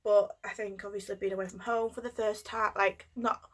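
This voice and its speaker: A woman in her twenties from Yorkshire with a cold, telling a personal story in a hesitant way with many pauses and ums. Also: mid-range voice, level tone. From Yorkshire, England. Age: 20s. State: has a cold, coughing. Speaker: vlogger.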